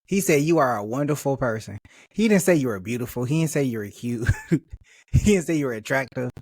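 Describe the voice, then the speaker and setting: A man giving casual, profane reaction commentary with animated delivery and laughing asides. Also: medium pitch, fairly quick, no echo, clean studio sound.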